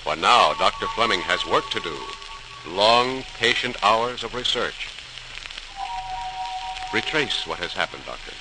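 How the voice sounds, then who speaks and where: Solemn radio-drama narrator, 1940s style, lowish and very melodic, noisy old transcription. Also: male voice. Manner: theatrical, with emphatic repetitions and suspenseful pauses. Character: solemn, suspenseful male storyteller of scientific discovery.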